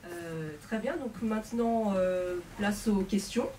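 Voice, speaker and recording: A woman moderating a bookshop Q&A, speaking in a polite, formal, halting way. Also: medium pitch, mellow. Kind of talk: hosting a Q&A. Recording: distant mic with background noise.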